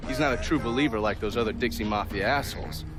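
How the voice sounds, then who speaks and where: An actor playing a savvy, wry intelligence operative in a game, briefing a partner in a confident, conversational way. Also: male voice, medium pitch, fast, over music, close to an everyday voice. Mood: casual, confiding. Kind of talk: cinematic game dialogue.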